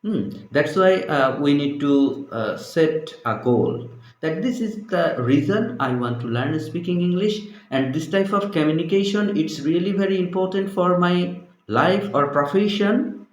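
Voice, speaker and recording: Bangladeshi man, language trainer, slow deliberate delivery with many hesitations, echoey room. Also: fairly high pitch, expressive. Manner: halting, many fillers. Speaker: English trainer. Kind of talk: unscripted conversation.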